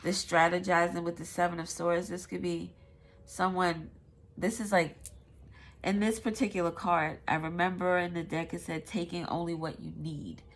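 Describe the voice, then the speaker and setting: A woman's voice: on the low side, little pitch movement, moderate pace. A woman with a stuffy nose giving a casual, rambling tarot reading, swearing now and then. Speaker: tarot reader.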